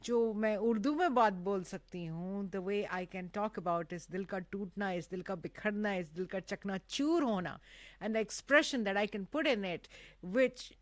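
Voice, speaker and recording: A woman's voice: medium pitch, very fast, no echo. A woman whose first language is Urdu, hosting a casual live talk show with an effusive, emphatic manner that repeats phrases for emphasis.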